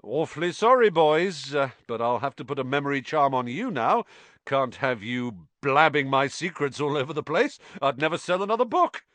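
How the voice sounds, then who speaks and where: A man's voice: mid-range voice, lively intonation, normal speed, fairly bright tone, no room sound, pitched above the performer's own voice, close to an everyday voice. A man voicing a vain, pompous male wizard professor who talks in an affected, condescending way. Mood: smug.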